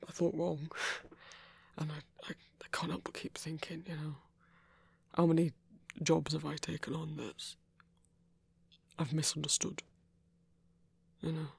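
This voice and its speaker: A performer playing a gentle, awkward male werewolf hunter in an intimate audio roleplay, speaking haltingly and apologetically with earnest remorse. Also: medium pitch, level tone, creaky, husky, no room sound, full character voice. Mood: remorseful. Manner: hesitant, halting, apologetic, stammering.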